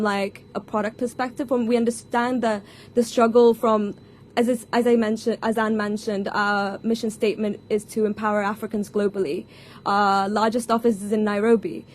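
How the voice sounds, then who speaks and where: A woman's voice: mid-range voice, a little mellow. Woman being interviewed, explains stuff in a chatty way, bit of room noise behind her. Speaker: company growth associate, interview guest. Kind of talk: conversational interview answers.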